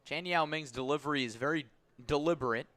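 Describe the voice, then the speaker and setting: A man's voice: a little high, fairly quick, a bit bright, no echo. A man calling baseball play-by-play for radio in clipped, punchy phrases, close on a broadcast mic. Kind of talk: live sports play-by-play commentary.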